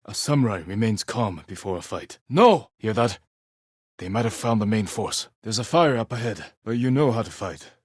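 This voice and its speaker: A grimly resolute samurai leader for a video game. His low voice has a husky grain and sits in a very dry, close recording. He speaks with solemn, measured restraint in short, clipped lines. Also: expressive, dry recording. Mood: urgent, commanding. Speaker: video game lead character. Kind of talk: dramatic video game voice acting.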